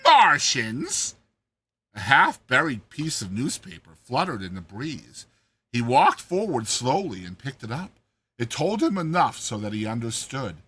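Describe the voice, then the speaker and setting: A man narrating a short story in a theatrical, expressive, dramatized reading style. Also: medium pitch, moderate pace, breathy edge. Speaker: narrator, host of a reading series.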